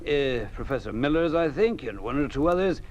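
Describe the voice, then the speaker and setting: An actor playing a genial, evasive institute director, glib and soothing, in a 1950s radio drama. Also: male voice, medium pitch, animated, fairly quick, darkish tone, highs cut off, natural voice. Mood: placating. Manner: soothing, glib reassurance with hedging. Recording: noisy old radio broadcast recording.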